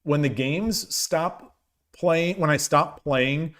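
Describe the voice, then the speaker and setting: A man chatting casually on a shogi live stream, reading viewers' messages aloud, his voice getting dry and hoarse from long talking. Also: fairly high pitch, takes it slowly, a bit bright. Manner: rambling, many restarts, reads chat aloud.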